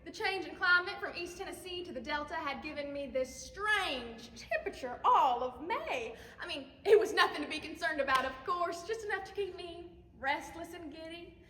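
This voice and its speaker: A woman's voice: very high-pitched, lively intonation, sharp tone, a little hiss or hum, full character voice. A woman performing a nostalgic, girlish Southern belle mother in an effusive, theatrical stage monologue. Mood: showy nostalgia. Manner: effusive, dramatic, drawn-out words. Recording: echoey hall, long reverb.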